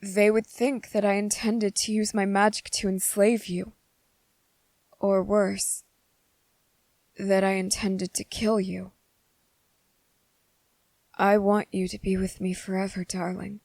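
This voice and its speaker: A woman acts out a gentle, brooding male vampire who is possessively in love, in an ASMR roleplay. The delivery is unhurried and halting, coaxing the listener tenderly. The sound is intimate and very dry, with no room around the voice. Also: medium pitch, little pitch movement, dry recording. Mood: gentle, sorrowful. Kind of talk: ASMR character roleplay, intimate scripted acting.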